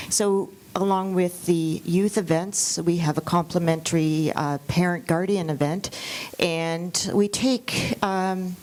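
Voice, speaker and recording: Steady woman panelist explaining programs. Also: on the low side, poor audio. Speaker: panelist, community organization member. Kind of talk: panel discussion.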